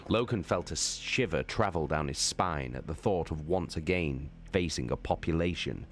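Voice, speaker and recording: A man giving an expressive, dramatic reading of a science fiction novel as a live streamer. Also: rumbling low, normal speed, soft background music. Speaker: streamer and reader. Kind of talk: dramatic audiobook narration.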